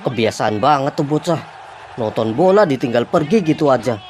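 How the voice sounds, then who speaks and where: A man's voice: medium pitch, expressive, moderate pace, a little mellow, slight background noise, close to an everyday voice. A man voicing a young, easygoing but jumpy hotel guest in a cartoon, speaking casual slang. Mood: annoyed, puzzled.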